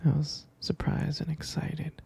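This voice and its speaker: Man acting a whispering, gravelly, devoted husband. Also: fairly high pitch, monotone, exaggerated voice. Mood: adoring, tender. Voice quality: breathy whisper with gravelly creak. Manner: slow, soft, hesitant, doting murmur. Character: tender, devoted husband comforting his pregnant partner. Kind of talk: intimate ASMR roleplay.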